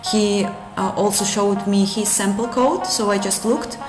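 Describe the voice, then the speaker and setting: A woman with a Russian accent talks through her code on a live stream, pausing and restarting as she answers the chat. Her voice sits at an ordinary middle pitch, and the room around her sounds noticeably echoey. Music plays clearly underneath her the whole time. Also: fairly even intonation. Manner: halting, self-correcting, many fillers. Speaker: game engine developer streamer. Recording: roomy with music playing behind.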